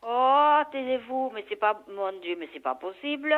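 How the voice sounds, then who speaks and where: A woman's voice: a little high, animated, normal speed. A mature woman chatting casually on the telephone, reacting with exclamations. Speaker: prank call victim. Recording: tinny narrowband phone line.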